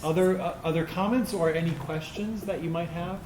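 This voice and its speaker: Mature male, slightly high voice, hesitant informal Q&A delivery, noisy room. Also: steady tone. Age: middle-aged or older. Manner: halting, searching for words. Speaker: theater director answering audience questions. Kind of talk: informal post-show Q&A. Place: roomy indoor performance space. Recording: echoey room with clear background noise.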